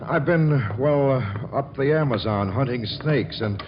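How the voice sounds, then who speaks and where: Flustered, bashful young American bachelor played by an actor. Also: male voice, mid-range voice, close to an everyday voice. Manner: stammering, flustered, halting. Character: naive, bashful, lovestruck rich young bachelor. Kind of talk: 1940s American radio comedy acting. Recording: muffled 1940s radio broadcast, music behind.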